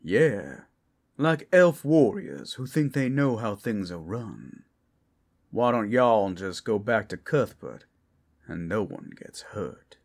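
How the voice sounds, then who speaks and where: A man's voice: fairly low pitch, big pitch swings, slow, vocal fry, darkish tone, full character voice. A man playing a flirtatious, protective werewolf guide in an ASMR roleplay, teasing and folksy with a sardonic edge. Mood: defiant. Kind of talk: ASMR roleplay audio drama.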